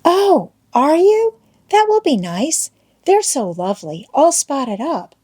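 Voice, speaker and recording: Woman as a shy little schoolgirl, high and swooping. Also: big pitch swings, slow pace, warm tone, full character voice. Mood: curious. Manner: timid, hesitant, childlike. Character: shy, sweet, hesitant little schoolgirl, easily hurt. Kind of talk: audiobook character voice.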